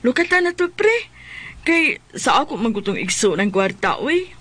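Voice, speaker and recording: Man acting an insistent, high-voiced Cebuano creditor. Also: big pitch swings, moderate pace, sharp tone, full character voice. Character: insistent male creditor, a family friend pressing for repayment. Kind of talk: comic radio drama acting.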